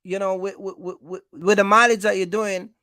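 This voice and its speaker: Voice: mid-range voice, level tone, moderate pace, sharp tone, no room sound, studio quality. An American livestream host giving informal business advice, speaking emphatically, repeating points and checking in with listeners.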